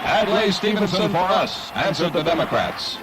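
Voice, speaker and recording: A man's voice: high voice, sing-song, moderate pace, background noise, voice pushed up. A man playing a 1950s newsreel announcer, declaiming emphatically with old-fashioned pronunciation and vintage processing on the voice. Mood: emphatic, urgent. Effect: vintage tape, tube and EQ newsreel processing.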